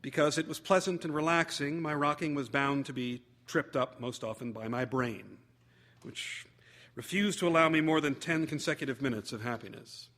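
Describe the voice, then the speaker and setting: A man reading a humorous memoir aloud as theatrical narration, wry and expressive with deliberate phrasing. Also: a little high. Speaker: actor reading a short story.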